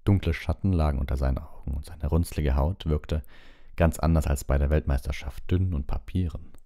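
A man's voice: extremely low pitch, brisk, dark tone, no echo, clean studio sound. A man reading a novel aloud as an audiobook narrator, in scripted literary narration.

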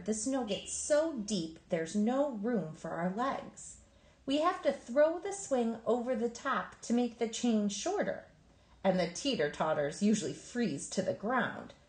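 A woman reading a children's picture book aloud in an expressive, emphatic storytelling manner. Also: a little high, unhurried, reverberant. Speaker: storybook reader.

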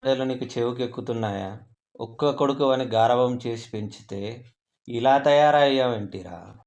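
Exasperated dad for a kids' moral tale, male actor, medium male pitch, nagging and stern. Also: normal speed, darkish tone, natural voice. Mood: scolding. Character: exasperated scolding father of a lazy son. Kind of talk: children's story voice acting.

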